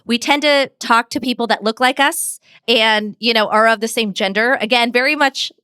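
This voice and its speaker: A woman's voice: fairly high pitch, normal speed, bright, forceful tone, smooth, no echo, studio quality. A middle-aged woman, a communications expert, answering interview questions in a confident, structured way and giving examples.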